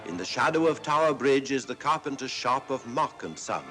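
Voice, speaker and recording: A man's voice: medium pitch, animated, moderate pace, bright, forceful tone, faint music behind. A man giving dramatic, scripted story narration in a solemn, portentous 1940s manner.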